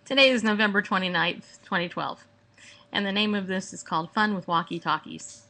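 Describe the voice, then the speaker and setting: A woman with a bright, forward voice at an average pitch hosts a relaxed solo podcast. She rambles playfully and sounds animated, chatting informally as if to friends. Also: fairly quick, poor audio. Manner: playful, rambling, acts out mock exchanges. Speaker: podcast host. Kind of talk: casual solo chat. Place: at home, indoors.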